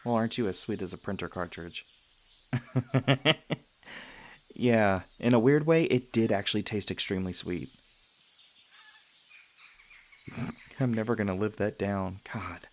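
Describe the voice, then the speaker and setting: A low-pitched, soft-hearted male vampire, played by a man, speaks closely to one listener in an ASMR roleplay. He is earnest and self-conscious, often hesitating or stammering as he gently explains himself. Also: close to an everyday voice. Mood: bashful, amused. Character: gentle, self-conscious male vampire, century old but youthful, sweetly flustered.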